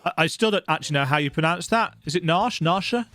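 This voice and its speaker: A man's voice: on the high side, animated, brisk, sharp tone, no room sound. A man giving casual, conversational commentary while playing a video game.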